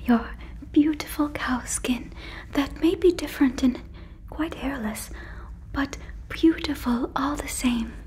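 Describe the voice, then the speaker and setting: A woman whispering close to a fluffy microphone in a breathy ASMR roleplay as a gentle, earnest alien, repeating words softly and deliberately. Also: high voice. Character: gentle, earnest female alien fussing over a visitor. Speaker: ASMR performer.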